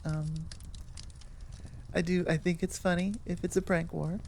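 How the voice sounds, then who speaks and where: A woman audio roleplay creator chatting informally to her listeners in an animated, rambling way. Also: lowish voice, unhurried, slight background noise. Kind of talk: casual chat outro to listeners.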